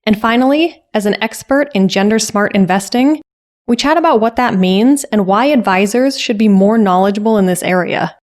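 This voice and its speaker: A woman's voice: medium pitch, normal speed, a little mellow. A woman hosting a podcast, reading an upbeat intro and asking questions with enthusiasm. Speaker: podcast host. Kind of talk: scripted intro and interview.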